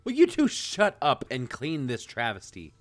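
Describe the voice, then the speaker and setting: A man's voice: mid-range voice, wildly swooping pitch, measured pace. A man voicing an exasperated, bossy young male dorm leader in a visual novel, indignant and commanding. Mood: furious, scolding. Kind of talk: visual novel character read-out.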